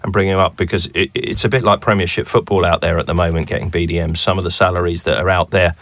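A man's voice: sits low, fairly even intonation, quick pace, a bit bright, no echo. A man guesting on a business podcast, speaking with many restarts and repeated words. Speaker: guest, bank sales director. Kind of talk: podcast interview.

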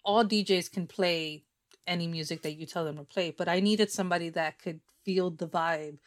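Young adult woman, podcast guest, chatty, laughs a lot, lowish voice. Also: fairly even intonation, normal speed. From Puerto Rican and Dominican family, in the US. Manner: laughs often, chatty and agreeable. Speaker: podcast guest and fellow podcast host. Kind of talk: casual podcast chat.

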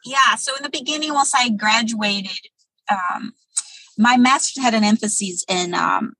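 Woman guest in her thirties, bright husky tone, dry close sound, measured answers with fillers. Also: a little high, dry recording, rough recording. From Brazil. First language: Portuguese. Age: 30s. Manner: thoughtful, many um pauses. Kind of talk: interview answers.

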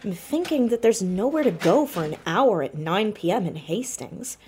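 Lively, inquisitive teenage girl sleuth played by a woman. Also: medium pitch, moderate pace, slight background noise, natural voice. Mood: pensive, probing. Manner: inquisitive, dry, hesitant on personal matters. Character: Max, curious sharp-witted teenage girl sleuth, orphaned. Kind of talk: naturalistic audio drama dialogue.

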